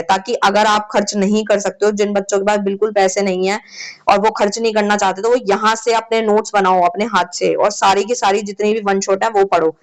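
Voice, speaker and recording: A woman teaching an exam-prep lecture in a chatty way, often checking in with her students, her voice a little sore. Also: mid-range voice. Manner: chatty, repeats "theek hai" often. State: sore throat.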